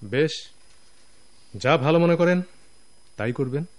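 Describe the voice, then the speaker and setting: A man with a Bangladeshi accent playing a scheming, smug senior company officer in a radio drama, sly and controlled with quiet menace. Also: fairly high pitch, lively intonation, takes it slowly, no echo. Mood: curt displeasure.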